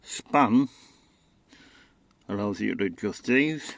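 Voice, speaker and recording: Male presenter, slightly deep voice, hands-on gear demo, unpolished and matter-of-fact. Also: animated, takes it slowly, creaky, fairly bright tone. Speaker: radio enthusiast presenting equipment. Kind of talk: informal product demonstration.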